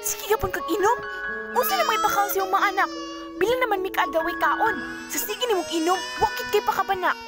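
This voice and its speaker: A woman acting a nagging mother who is fed up with her drunkard husband, scolding loudly in an amateur radio play. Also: very high-pitched, fairly quick, loud music behind the voice. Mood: bitter, tearful. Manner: scolding, harping, loud.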